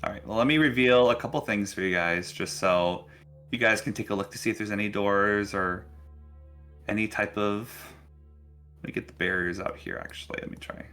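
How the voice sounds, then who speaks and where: A man narrating a tabletop game casually as game master, halting and thinking aloud as he goes. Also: on the low side, bright, forceful tone, soft background music.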